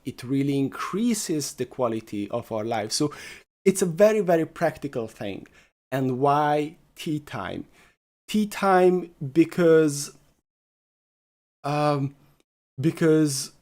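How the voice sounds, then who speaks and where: A man giving an explanatory self-development talk as a spiritual teacher, speaking in a deliberate, emphatic way and repeating key phrases. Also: medium pitch, a little mellow.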